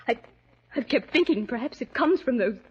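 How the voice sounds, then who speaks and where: A woman's voice: a little high, animated, moderate pace, breathy edge. An actress playing a frightened, frantic young woman in a breathless, pleading 1940s radio drama style. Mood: fearful, distressed. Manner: breathless, pleading, repeats herself anxiously. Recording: noisy muffled 1940s radio recording.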